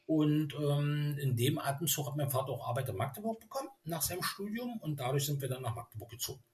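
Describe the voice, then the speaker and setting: A middle-aged man from Berlin, a guest in a casual interview, telling long stories slowly with many ums and uhs. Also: on the high side, lively intonation, a little mellow. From Berlin, Germany. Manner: hesitant, many fillers, rambling storytelling. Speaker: interview guest, former youth footballer.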